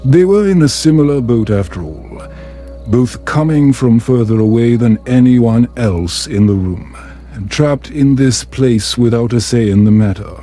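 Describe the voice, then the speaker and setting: A man narrating a fantasy bedtime story in a calm, soothing, measured way. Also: mid-range voice. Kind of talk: calm scripted fantasy audiobook narration for sleep.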